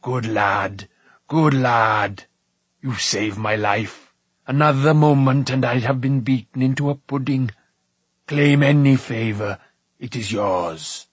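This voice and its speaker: A massive, hearty warrior king from a storybook. He blusters and bellows in a husky voice. His words come slow and weighty. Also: medium pitch, no room sound. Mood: hearty, grateful. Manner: blustering, drawn-out delivery. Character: huge, booming, hearty old warrior king.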